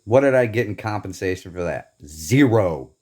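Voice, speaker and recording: Brief: low-pitched American man from the Midwest, angry and swearing, vlog rant. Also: animated, normal speed, darkish tone. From Northeast Indiana, USA. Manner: bitter, profane, emphatic complaining. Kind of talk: angry personal rant to camera.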